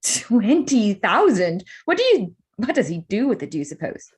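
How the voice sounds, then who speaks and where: An actress playing a witty, proud young gentlewoman with an arch, spirited manner in a period staged reading. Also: medium pitch, big pitch swings, normal speed, breathy edge. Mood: amazed, curious.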